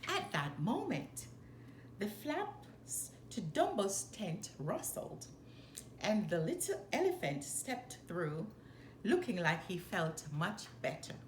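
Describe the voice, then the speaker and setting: Woman, children's read-aloud narration, slow deliberate delivery, expressive storytelling, roomy echo with faint noise. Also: medium pitch.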